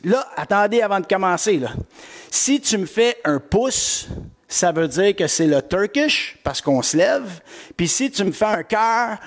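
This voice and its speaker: A middle-aged man with a Québécois accent, coaching a live-streamed workout class and greeting viewers by name with lots of energy. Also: high-pitched. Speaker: fitness coach.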